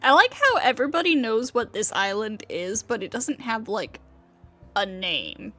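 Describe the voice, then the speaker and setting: A woman's voice: fairly high pitch, sing-song, unhurried, bright, forceful tone, slight background noise. A woman doing casual live game stream commentary in a joking, slangy way.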